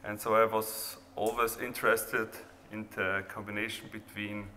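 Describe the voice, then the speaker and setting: A man with an Austrian accent, a native German speaker, giving an informal, halting presentation of his own art project. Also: lowish voice, little pitch movement, sharp tone. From rural Austria near the Hungarian border. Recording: reverberant hall, background noise.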